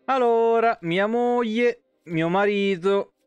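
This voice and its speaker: A man's voice: sits high, slow, a little mellow, clean tone, no room sound, soft background music. A man in his thirties from Italy giving casual, foul-mouthed gaming commentary and chatting to viewers. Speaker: live streamer playing a video game. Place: indoor home streaming setup. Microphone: close streaming microphone.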